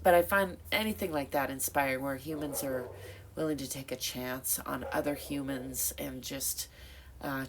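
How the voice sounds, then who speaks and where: Low-voiced, slow, stuffed-up woman vlogger. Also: background noise. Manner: rambling, thoughtful, many fillers and self-corrections. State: stuffed-up nose. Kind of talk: reflective casual monologue.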